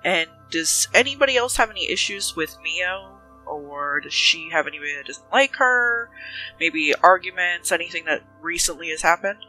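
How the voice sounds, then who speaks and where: A woman playing a businesslike detective in a tabletop game, questioning in a measured, probing way. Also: fairly low pitch, expressive, bright, forceful tone, soft background music, rough recording. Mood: probing, calm.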